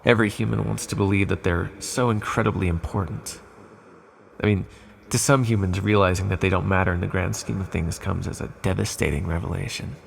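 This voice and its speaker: A man voicing an ancient, imprisoned villain in an ASMR roleplay, condescending yet lonely and flirtatious, with smug, articulate, softly teasing delivery. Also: full character voice. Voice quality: deep, gravelly, husky. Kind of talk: ASMR roleplay monologue to the listener.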